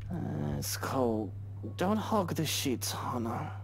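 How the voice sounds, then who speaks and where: A sleepy husband grumbles in a gravelly, groggy drawl, as a man acts him out for a visual novel game. His pitch swings widely as he complains. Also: lively intonation, slow, slight background noise, heavily acted. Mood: sleepy grumbling. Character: drowsy grumbling husband in bed, chilly. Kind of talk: reading game dialogue aloud in character.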